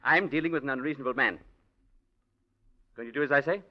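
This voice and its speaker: An actor playing a stern, commanding male ballet director in a 1940s radio drama, brisk and authoritative. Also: mid-range voice, lightly acted. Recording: dull old radio broadcast, off-mic.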